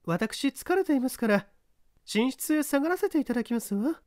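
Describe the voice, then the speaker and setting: A man voicing a genteel wealthy lady with a soft, refined, polite manner in an audiobook. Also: very high-pitched, lively intonation, normal speed, dry recording, clean studio sound, voice pushed up. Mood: politely weary.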